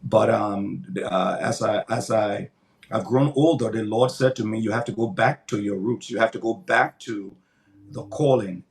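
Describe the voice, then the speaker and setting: Gravelly, low-voiced older pastor. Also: male voice, moderate pace. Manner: earnest, emphatic, repeats words as he builds points. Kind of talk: interview answers, preaching cadence.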